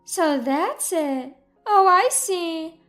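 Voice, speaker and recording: A woman voicing a spiteful, self-centered older mother-in-law in a narrated family story, with a shrill, indignant manner. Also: animated, extremely slow, a bit bright, faint music behind, exaggerated voice.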